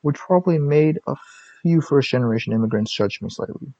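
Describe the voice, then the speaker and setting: A man's voice: fairly high pitch, a little fast, warm tone, no room sound, dull audio. A young man chatting in a casual vlog, rambling with joking, self-deprecating asides. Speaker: YouTuber.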